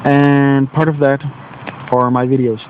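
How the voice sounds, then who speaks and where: A man's voice: mid-range voice, level tone, measured pace, phone-quality sound, slight background noise. A Danish man with a Danish accent and a slight cold, a teacher talking casually outdoors in a rambling, self-deprecating way, searching for English words. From Denmark. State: has a cold. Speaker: teacher and video blogger. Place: outdoors on a wet bench in nature.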